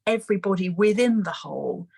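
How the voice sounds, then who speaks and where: A woman from Malawi, a school head on a webinar panel, speaking earnestly and articulately with emphatic repetition in a husky voice. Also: mid-range voice, animated, brisk, darkish tone. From grew up in Malawi as an expatriate. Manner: earnest, emphatic, articulate, repeats words for stress.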